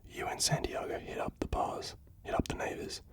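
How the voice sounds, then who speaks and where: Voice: normal speed, natural voice. A reader whispering the lines of a stern male police captain, giving clipped orders.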